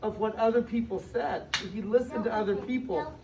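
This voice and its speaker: An American woman reads a picture book aloud to young children, pausing to explain and ask questions, with emphatic stretched words. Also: a little high, a crowd in the background. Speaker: school superintendent reading aloud to children. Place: indoor room with children present. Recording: distant, echoey, muffled room recording with noise.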